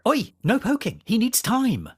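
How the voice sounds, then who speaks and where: An actor playing a quirky, gently scolding adventurer for small children, with a fussy, animated manner. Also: male voice, extremely high pitch, unhurried, breathy edge, exaggerated voice. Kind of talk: children's audio drama performance.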